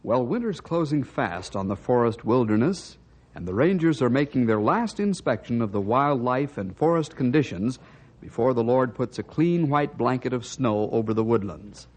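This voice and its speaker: Male announcer, acted, 1950s adventure radio. Emphatic, declamatory, very animated delivery with a rough edge. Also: mid-range voice, moderate pace, natural voice. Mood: dramatic, rousing. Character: dramatic announcer of a wilderness adventure serial. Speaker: announcer and narrator. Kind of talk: dramatic 1950s radio narration.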